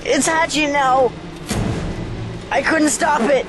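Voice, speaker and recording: A woman's voice: high-pitched, fairly even intonation, slow pace, background noise. A woman playing a frightened, pleading young boy possessed by an alien presence in an audio drama. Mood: remorseful. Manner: pleading, distressed.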